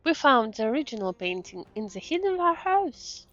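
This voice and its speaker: A woman's voice: on the high side, big pitch swings, normal speed, a bit bright. A woman streamer casually commenting on a game in short murmured reactions with non-native phrasing. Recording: dull lo-fi mic. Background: game music.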